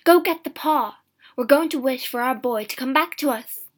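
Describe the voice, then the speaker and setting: A woman's voice: high voice, moderate pace, breathy edge, pitched above the performer's own voice. A woman reading a storybook, voicing a grieving old mother who is insistent and urgent. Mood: urgent, pleading. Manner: emphatic, urgent exclamations.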